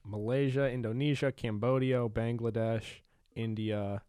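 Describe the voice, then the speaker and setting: A man's voice: medium pitch, steady tone, measured pace, dark tone, clear, resonant tone, no echo, studio quality. Laid-back young man laughing through podcast banter. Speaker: podcast co-host.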